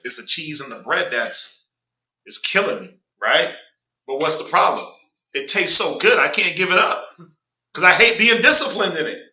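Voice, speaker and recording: A man's voice: on the high side, lively intonation, normal speed, pushed and bright. Stuffy-nosed man teaching a Bible class. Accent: Southern US. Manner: conversational, prompts reader with "go ahead", jokes. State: stuffy nose, clearing throat. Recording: echoey room, distant mic.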